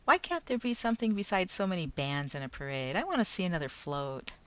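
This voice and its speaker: A woman voicing an excited, restless little boy who speaks high and eagerly. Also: quick pace.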